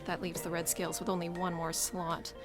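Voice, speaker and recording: A woman's voice: on the low side, completely flat delivery, fairly quick, over music, lightly acted. A woman playing a self-assured, bossy squadron strategist in an audio drama, speaking in a formal, precise, businesslike way. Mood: composed, brisk.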